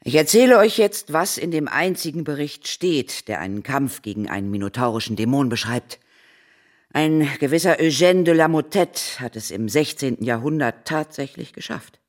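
A man playing a cautious, learned ghost-hunting mentor in a children's radio play, formal and deliberate. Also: fairly high pitch, expressive, close to an everyday voice. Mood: instructive.

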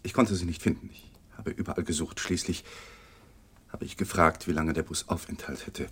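An actor playing a shaken, evasive widowed man in a crime radio play, speaking with halting, guarded answers. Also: low voice, fairly even intonation, a bit bright, breathy edge, full character voice. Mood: subdued confession.

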